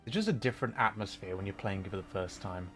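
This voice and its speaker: A man hosting a gaming livestream, chatting casually and thinking out loud in a rambling way as he plays. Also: low-pitched, animated, fast. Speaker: livestream host. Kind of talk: casual gaming livestream chat while playing. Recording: music playing behind the voice. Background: music.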